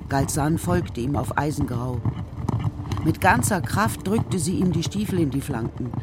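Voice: medium pitch, noisy background. A narrator telling a children's story in a scripted radio drama style.

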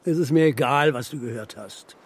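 An actor playing a stubborn, grumpy old steppe shepherd and grandfather in a children's radio drama, gruff and terse, with a husky voice. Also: mid-range voice, normal speed, close to an everyday voice. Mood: gruff, defiant.

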